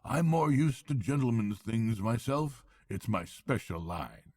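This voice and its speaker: A man voicing a pompous, boastful male toad in a storybook reading, gravelly and husky. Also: mid-range voice, very animated, a little slow, darkish tone, dry recording, pitched above the performer's own voice, exaggerated voice. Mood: nervous. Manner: airy bragging, turning nervous. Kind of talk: storybook character voice.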